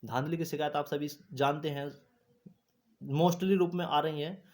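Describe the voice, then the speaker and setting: A man's voice: on the high side, fairly even intonation, unhurried, darkish tone. A man giving an informal, instructive explainer to exam candidates, repeating points and often checking that listeners follow. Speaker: host of an exam news channel.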